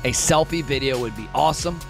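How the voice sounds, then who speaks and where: A man's voice: mid-range voice, brisk, over music. A man hosting a podcast, reading a scripted appeal to listeners in a direct, upbeat way. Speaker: podcast host. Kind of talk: scripted promo read.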